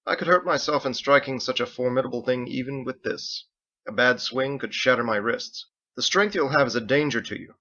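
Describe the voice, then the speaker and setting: A man's voice: medium pitch, brisk, sharp tone, dull audio. Man acting a hearty, lecturing sword master. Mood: instructive. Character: confident, instructive older male sword master.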